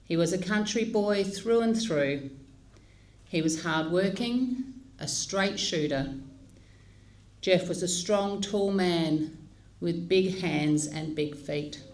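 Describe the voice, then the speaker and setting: Slow, low-voiced woman celebrant. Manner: slow, measured and solemn. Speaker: funeral celebrant. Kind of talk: funeral service address.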